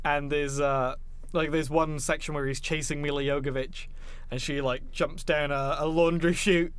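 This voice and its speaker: A man with a fairly high-pitched voice co-hosts a film podcast. He talks casually and enthusiastically, telling things in a rambling way and swearing freely. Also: little pitch movement, normal speed, clear, resonant tone. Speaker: podcast co-host. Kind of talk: casual chat about films.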